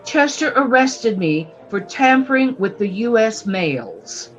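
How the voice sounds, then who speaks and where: A woman's voice: fairly high pitch, expressive, slow, highs cut off, soft background music. An actress playing a naive, polite young shopgirl in her twenties, earnest and plaintive, in a radio western. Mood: meek, earnest. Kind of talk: old-time radio western acting.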